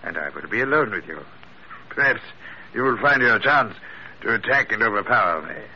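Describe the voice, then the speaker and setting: An actor playing a theatrical, gloating gentleman blackmailer in florid, formal speech, in classic radio drama style. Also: lowish voice, animated, brisk, breathy edge. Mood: menacing, formal. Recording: muffled old radio broadcast, faint hiss.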